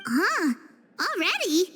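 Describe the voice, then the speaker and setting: A woman voicing a tiny, childlike fairy companion in a video game, chirpy, naive and quick to exclaim. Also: very high voice, big pitch swings, noisy background, full character voice. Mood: curious, eager.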